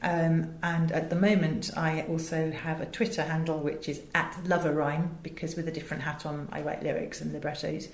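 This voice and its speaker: An English woman gives an interview in a fairly low voice. She is expressive and conversational, often interrupting herself mid-thought. The room around her is noticeably echoey. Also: moderate pace, hardly any pauses. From England. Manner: chatty, expressive, self-interrupting. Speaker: interview guest, voice director.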